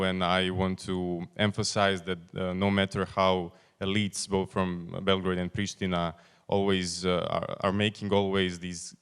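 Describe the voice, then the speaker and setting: Very smooth, low-voiced young Serbian man, echoey hall. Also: fairly bright tone. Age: young adult. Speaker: audience member. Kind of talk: polite spoken comment at a forum. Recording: echoey hall with long reverb.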